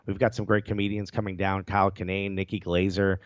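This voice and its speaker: A man's voice: deep voice, level tone, a little fast, dry recording, muffled recording. A man chatting as a podcast guest, laughing often between jokes. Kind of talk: casual podcast chat.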